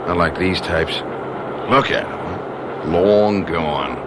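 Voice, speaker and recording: An actor in a radio drama playing a brash young hipster punk, cocky but haunted, slangy and stammering. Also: male voice, deep voice, slow pace, very noisy. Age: young adult.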